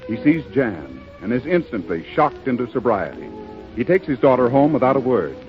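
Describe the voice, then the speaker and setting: Man, vintage radio drama narrator, scripted dramatic delivery, medium pitch, music behind, dull archive sound. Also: very animated, moderate pace. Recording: muffled old radio broadcast with music.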